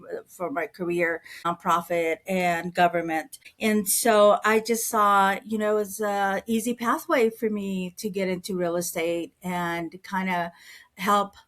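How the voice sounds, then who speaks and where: A woman's voice: medium pitch, steady tone, measured pace, fairly bright tone. A middle-aged woman from Mexico, a real estate broker answering interview questions in an earnest, conversational way, often repeating words as she thinks.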